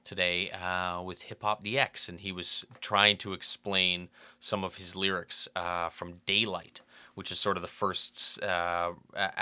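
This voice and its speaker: Male podcast guest, low and very crisp, punchy tone, dry close sound, thinks aloud. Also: sharp tone, dry recording. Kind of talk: casual chat about rap music.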